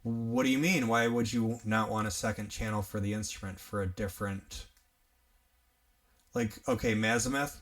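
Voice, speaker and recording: A young man with an upstate New York accent, hosting a casual live tutorial on music gear, chatty and relaxed, laughing often as he works. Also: medium pitch, distant mic. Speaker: livestream host teaching music gear.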